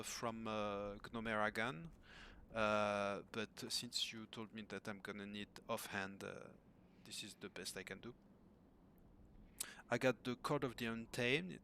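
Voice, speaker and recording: A hoarse middle-aged man speaking as an at a home desk mic, his voice a touch low. He talks slowly and haltingly, stopping and restarting with lots of uhs. A faint hiss runs under the recording. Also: pushed and bright. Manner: hesitant, many fillers and restarts. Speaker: gamer asking for coaching. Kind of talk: casual recorded message to a coach. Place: home room.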